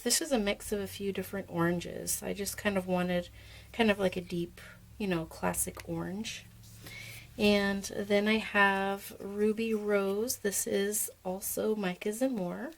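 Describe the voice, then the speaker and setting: Woman, hoarse and froggy, gravelly fry, easygoing rambling how-to chat, fillers. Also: medium pitch. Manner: relaxed, rambling, with many fillers. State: hoarse, froggy voice from being sick. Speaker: soap maker and channel host. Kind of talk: casual how-to demonstration.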